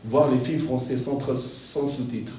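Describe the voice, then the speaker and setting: Male pastor, stop-start delivery with frequent laughs, mellow voice; distant mic in an echoey church hall. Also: mid-range voice, steady tone, a little hiss or hum. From Argentina. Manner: halting, repeats words, laughs often. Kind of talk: sermon.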